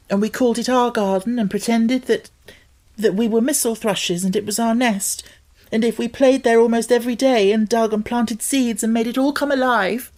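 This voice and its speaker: A woman voicing an earnest, excitable young girl who pleads with breathless, tumbling words. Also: fairly high pitch, voice pushed up, natural voice.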